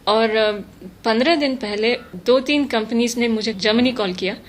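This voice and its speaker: A woman giving measured, thoughtful interview answers, mixing English terms into her speech. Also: fairly high pitch. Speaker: interview guest, translator. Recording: noticeable steady background noise.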